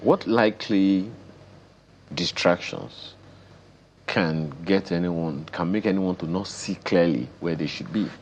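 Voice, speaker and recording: Male morning TV host, Nigerian accent, low pitch, playful interviewer, some background noise. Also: slow pace. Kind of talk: live television interview chat.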